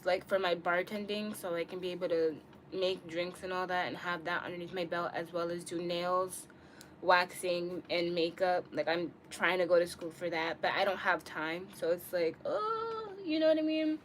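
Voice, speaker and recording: A woman's voice: on the low side, normal speed. Casting: woman, early twenties, candid and slangy streamer chatting off the cuff, noisy room sound. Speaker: live streamer. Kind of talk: casual live-stream chat with viewers. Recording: clearly noisy room ambience.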